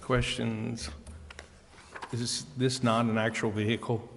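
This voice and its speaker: A man's voice: medium pitch, level tone, slow pace, gravelly, a bit bright. A man, a council member, asking questions in a formal meeting. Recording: reverberant council chamber, faint noise.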